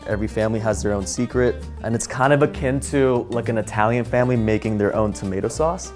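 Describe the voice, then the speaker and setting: A man's voice: lowish voice, steady tone, fast talker, a little mellow, faint music behind. A young American man from Los Angeles, explaining step by step how to make a family dish while chopping in a small home kitchen. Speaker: kimchi workshop teacher. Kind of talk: casual cooking demonstration.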